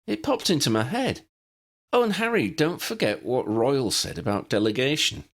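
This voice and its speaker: A man's voice: mid-range voice, sing-song, moderate pace, voice pushed up. A man voicing a dreamy young woman seer in an audiobook reading, who blurts things out in a flustered way.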